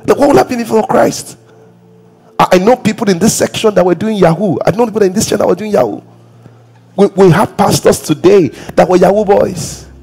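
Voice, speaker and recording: A man in his thirties with a Nigerian English accent, preaching a lively sermon on a church stage, acting out quoted voices and sometimes breaking into song or shouts. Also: high voice, fairly quick, gravelly, slightly rough. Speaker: pastor.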